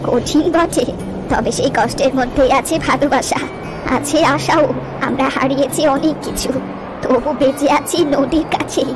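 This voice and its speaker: High-voiced wistful old village grandmother acted by a woman. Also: fairly even intonation, moderate pace, music in the background, natural voice, rough recording. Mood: sorrowful, wistful. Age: older. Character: wistful old village grandmother, gentle storyteller of river lore. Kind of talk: cartoon story dialogue.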